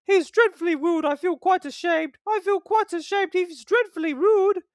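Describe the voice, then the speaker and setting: A man's voice: very high-pitched, moderate pace, no echo, clean studio sound, pitched above the performer's own voice. A man voicing prim, scandalised female railway coaches in a fussy, twittering way.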